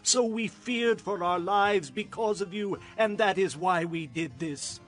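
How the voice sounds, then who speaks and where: High-voiced pleading envoy. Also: male voice, a little slow, fairly bright tone. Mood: fearful, humble. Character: pleading, wily Gibeonite male envoy posing as weary traveler. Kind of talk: dramatized audio Bible acting.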